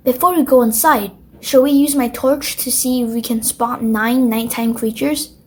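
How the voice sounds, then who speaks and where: A kindly old grandfather pig from a children's storybook, voiced by a woman in a high register. The delivery is gentle and encouraging. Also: fairly even intonation, moderate pace, slightly rough. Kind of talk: children's storybook read-aloud.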